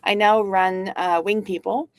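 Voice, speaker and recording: A middle-aged woman from Canada talks in a loose, witty storytelling way, with lots of "like" and quick asides. Her voice sits at a medium pitch. The sound is dry and close, with little room around it. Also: steady tone, no room sound. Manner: witty, storytelling, uses "like" often. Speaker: guest speaker, founder. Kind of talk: casual conversational interview.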